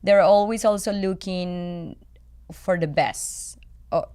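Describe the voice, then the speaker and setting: A woman's voice: lowish voice, takes it slowly, mellow, clean tone. A woman from Colombia with a Colombian accent, a native Spanish speaker, talking candidly and casually and repeating phrases for emphasis.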